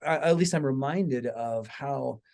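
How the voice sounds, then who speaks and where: A man's voice: medium pitch, measured pace, creaky, darkish tone. A man hosting a podcast in a casual conversational style, inquisitive and circling around his questions before he lands them. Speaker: podcast host and interviewer.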